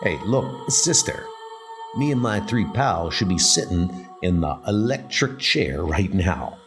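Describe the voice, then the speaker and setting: A man's voice: low-pitched, animated, slow, music in the background. A man voicing a cocky, boastful convict henchman with slangy talk in a horror comic dub, over rain noise. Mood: cocky, dismissive. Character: cocky bespectacled convict henchman, swaggering and talkative.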